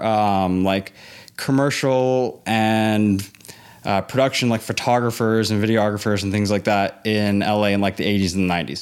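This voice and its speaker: A man's voice: on the low side, clear, resonant tone. Man telling an anecdote, conversationally. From born in Los Angeles, grew up in Hong Kong. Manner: animated retelling with quoted dialogue. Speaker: interview guest, theme park industry professional. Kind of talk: casual anecdotal storytelling.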